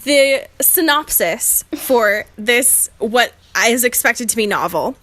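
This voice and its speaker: High, bright young woman, giggly podcast host. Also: expressive, takes it slowly. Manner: giggly, breaks into laughter while talking. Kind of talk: casual comedic chat, reading fan fiction aloud.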